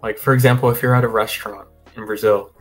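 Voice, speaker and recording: A man's voice: fairly low pitch, fairly even intonation, fast talker, faint music behind. Easygoing young man rambling on a video call. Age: young adult. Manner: rambling with many fillers and restarts, easygoing. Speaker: guest. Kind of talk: casual interview. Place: home room over a video call.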